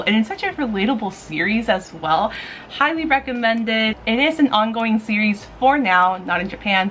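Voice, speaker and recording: Female vlogger, fairly high voice, enthusiastic casual reviewer delivery, audible background noise. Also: fairly bright tone. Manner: enthusiastic, informal, gushing. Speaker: manga reviewer and video host. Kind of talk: casual chatty recommendation vlog.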